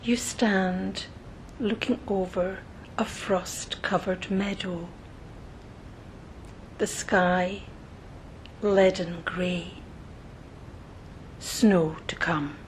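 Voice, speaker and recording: Very slow-speaking woman guiding meditation. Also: fairly even intonation, poor audio. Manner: soothing, measured, pausing between short phrases. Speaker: meditation guide. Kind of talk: scripted guided meditation narration. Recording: noticeable low rumbling background noise.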